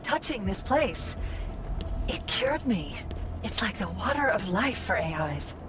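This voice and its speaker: A woman playing a confident female artificial intelligence companion in a video game, speaking in an expressive, conversational, familiar way. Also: medium pitch, measured pace, background noise. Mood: earnest, wondering. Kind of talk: natural video game drama acting. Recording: loud cinematic music and effects behind.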